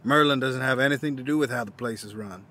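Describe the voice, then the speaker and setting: A man playing a weary, proud old war veteran, gruff, wry and folksy, in an audio drama. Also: medium pitch, fast talker, pushed and bright, a little hiss or hum, lightly acted. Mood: weary, reluctant. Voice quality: gravelly. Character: weary, proud old male Vietnam veteran down on his luck.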